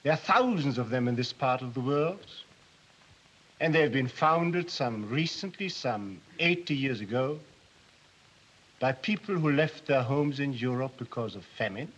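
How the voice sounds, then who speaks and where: An actor playing a principled older German émigré leader, speaking with deliberate, impassioned dignity in a 1940s film drama. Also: male voice, mid-range voice, a bit bright, breathy edge. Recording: dull 1940s film soundtrack.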